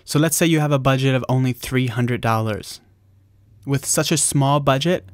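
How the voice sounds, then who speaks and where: A man's voice: medium pitch, no room sound, studio quality. A man presenting an explanatory video tutorial in a plain-spoken, informal instructional way.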